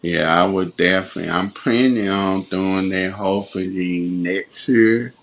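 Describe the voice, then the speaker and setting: A man hosting a podcast, talking in a slow, laid-back way with short reactions. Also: low voice, slight background noise. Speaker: podcast host. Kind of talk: casual conversation.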